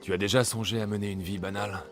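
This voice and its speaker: An actor playing a rugged, loyal Greek mercenary in a video game, calm and reflective. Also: male voice, lowish voice, fast talker, over music, close to an everyday voice. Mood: wistful. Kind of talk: dramatic video game acting, French dub.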